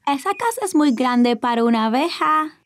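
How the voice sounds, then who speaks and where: Excitable cartoon boy of four, high voice, imaginative and eager, music underneath. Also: moderate pace. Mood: curious, amazed. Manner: excitable, childlike. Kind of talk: children's cartoon dub.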